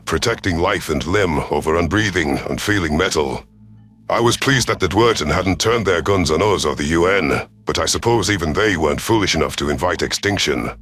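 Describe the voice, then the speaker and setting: A man reading a first-person science fiction story as a stern alien commander, with formal, measured wording. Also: low voice, fairly bright tone. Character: stern alien reptilian military commander narrating. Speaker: audiobook narrator of science fiction.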